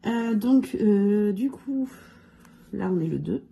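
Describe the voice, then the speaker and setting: A hoarse woman with a cold chats casually about her budget, counting amounts out loud and filling pauses as she goes. Her voice is gravelly and dark. A faint room ambience hums behind her. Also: mid-range voice, lively intonation, a little hiss or hum. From France. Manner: counts money aloud, many fillers. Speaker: budget vlogger. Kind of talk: casual budget review talk.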